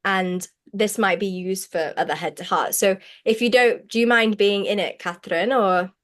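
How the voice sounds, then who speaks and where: A woman's voice: mid-range voice, moderate pace. A woman hosting an online session, talking in a chatty, rambling way full of likes. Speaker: program host, facilitator. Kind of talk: casual video call chat. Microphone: video call.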